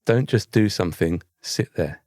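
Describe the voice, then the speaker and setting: A man's voice: fairly low pitch, lively intonation, normal speed, a little mellow, no echo, clean studio sound. A man with a British accent, talking in a wry, conversational way as a co-host on a casual podcast. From Britain.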